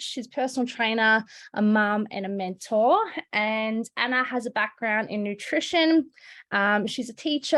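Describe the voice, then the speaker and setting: A woman opening an online event as its host on a video call, upbeat and full of "um" fillers as she introduces the speakers. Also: a little high. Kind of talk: welcome and introduction.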